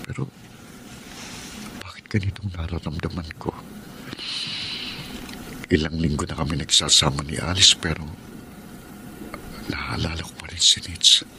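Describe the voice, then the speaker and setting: An actor with a Filipino accent playing a guilt-ridden husband in a radio drama, confessing in an emotive way. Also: male voice, a bass voice, fairly even intonation, measured pace, long pauses, noisy background. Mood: brooding, hushed. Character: troubled, guilt-ridden husband torn between two women.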